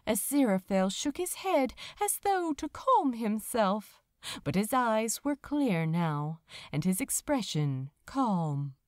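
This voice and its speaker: A woman reading fiction aloud as a dramatic narrator, expressive and measured, with deliberate pauses. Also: fairly high pitch, no room sound, clean studio sound. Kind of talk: dramatic scripted audiobook narration.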